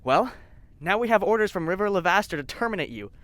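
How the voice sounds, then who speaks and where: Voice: mid-range voice, lively intonation, quick pace, a bit bright, no room sound, natural voice. An actor playing a self-conscious, blustering villainous scientist in an audio drama, awkward and easily flustered. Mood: angry, blustering.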